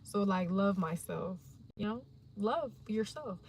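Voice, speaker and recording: A young woman livestreaming, chatting casually and rambling in a slangy, animated way to her viewers. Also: medium pitch, slow pace, a little hiss or hum.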